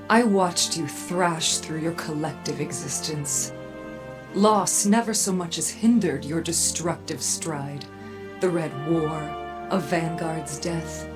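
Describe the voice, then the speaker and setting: Low-voiced, solemn woman forge leader. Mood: cool, judging. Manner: measured, formal, solemn. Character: weary, proud woman leader of a weapons forge. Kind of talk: dramatic game cutscene monologue. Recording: music behind the voice. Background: cinematic music.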